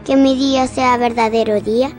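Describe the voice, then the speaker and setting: A woman's voice: fairly high pitch, steady tone, normal speed, a little mellow, faint music behind, lightly acted. A woman playing a serious, disillusioned seven-year-old daughter in a radio drama, speaking earnestly and wistfully. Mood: pleading, disillusioned.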